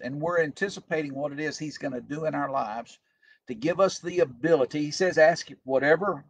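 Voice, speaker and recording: An older male pastor with a gravelly edge and a slightly husky tone, speaking at an ordinary pitch. He preaches in an earnest, plain-spoken way, often restarting his words. He is recorded on a desk webcam in a room at home. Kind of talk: recorded home devotional sermon. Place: home room with tall shelves, indoors. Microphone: webcam at desk distance.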